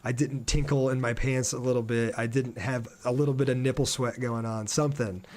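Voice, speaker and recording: A man's voice: mid-range voice, fairly even intonation, brisk, darkish tone. Casual, chatty man streaming a game. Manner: chatty, informal, self-deprecating joking. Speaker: game livestreamer. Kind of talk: casual livestream chat over gameplay, reading viewer comments aloud.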